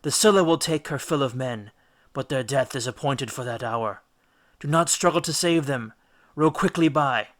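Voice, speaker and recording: A man voicing an elderly ghostly oracle, stern and all-knowing, making measured, ominous pronouncements in a storybook. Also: mid-range voice, natural voice. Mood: grave, warning.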